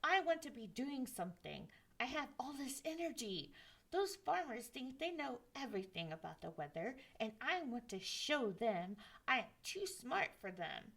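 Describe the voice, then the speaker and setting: A woman's voice: fairly high pitch, sing-song, normal speed, vocal fry, a bit bright, slightly rough, heavily acted. A woman voicing Jack Frost, a restless, boastful young boy, in a children's story reading. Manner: boastful, impatient.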